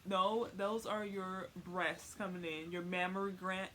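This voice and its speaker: A woman's voice: fairly low pitch, steady tone, a little slow, a bit bright. A young woman giving a casual comic explainer in informal slang, rambling and repeating words for emphasis. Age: young adult. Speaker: vlogger. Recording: faint noise, home recording.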